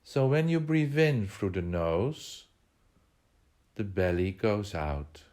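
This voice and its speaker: A man with a somewhat low voice leads a guided meditation. His delivery is very slow and measured. He gives instructions in short phrases, pausing between them. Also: lively intonation, a little mellow.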